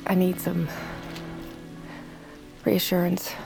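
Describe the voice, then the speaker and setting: A woman's voice: fairly low pitch, completely flat delivery, takes it slowly, creaky, over music, low-quality recording. An actress playing a weary, anxious woman who confides hesitantly, in a naturalistic audio drama. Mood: anxious, confiding. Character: weary, anxious pregnant woman seeking reassurance.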